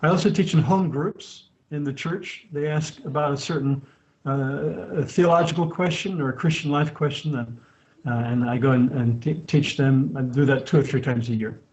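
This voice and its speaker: A man's voice: medium pitch, normal speed. A man in his sixties who grew up in France, a missionary answering interview questions steadily with many restarts. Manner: steady, with frequent restarts and fillers. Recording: dull lo-fi sound.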